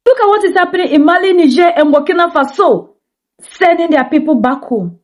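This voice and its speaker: High-pitched indignant Ghanaian woman. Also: animated, a little fast. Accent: Ghanaian English. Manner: rhetorical, indignant, asks many questions. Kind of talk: impassioned commentary.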